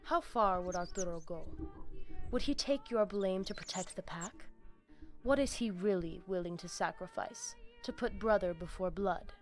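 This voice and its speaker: A woman voicing a commanding, scheming vampire pack leader in a video game, solemn and measured. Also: mid-range voice, faint music behind, close to an everyday voice. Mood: stern, probing.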